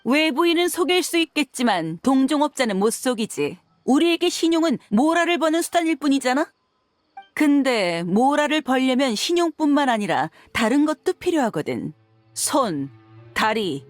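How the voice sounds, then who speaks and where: A woman's voice: sits high, sing-song, normal speed, soft background music. A woman playing a tough, frank and confident mercenary in a video game, with a blunt, assertive way of talking. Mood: menacing.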